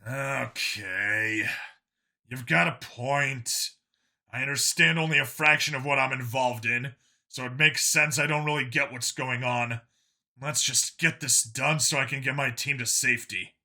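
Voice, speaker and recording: A man's voice: mid-range voice, measured pace, pushed and bright. A man voicing a terse, weary human detective in a sci-fi story reading. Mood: resigned, focused. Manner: terse, grumbling. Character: Vincent, gruff weary human detective on dangerous missions.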